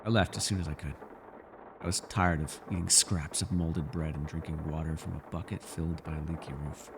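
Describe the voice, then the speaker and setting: A man acting as an ancient elf with a low voice, in an intimate fantasy ASMR roleplay. He is wistful and measured, with a gently teasing streak. There is noticeable noise behind the voice. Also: fairly even intonation. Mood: somber, confiding. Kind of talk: ASMR character roleplay, intimate scripted monologue.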